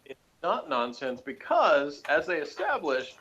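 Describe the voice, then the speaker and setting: A man's voice: on the high side, sing-song, a little slow, sharp tone, slight background noise. Dull-sounding man rambling casually. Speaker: podcast co-host. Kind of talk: casual TV episode discussion. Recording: dull lo-fi voice recording.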